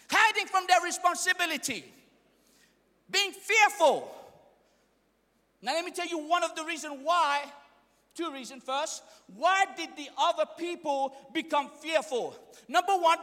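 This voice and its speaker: A young man preaching a sermon in a church, speaking English as a second language, fiery and often shouting in call-and-response with the congregation. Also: extremely high pitch. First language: not English. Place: church hall. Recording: echoey church hall reverb.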